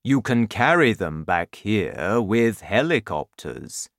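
Man doing a slow, gentle kindly giant. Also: lowish voice, very animated, no room sound, clean studio sound, full character voice. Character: big gentle friendly male giant. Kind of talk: storybook reading.

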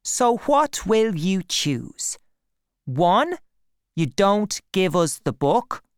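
A woman's voice: medium pitch, sing-song, very slow, clean tone, no echo, clean studio sound, voice pushed up. A woman voicing a confident, cunning boy in a storybook, speaking in an assured, commanding way. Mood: coolly threatening.

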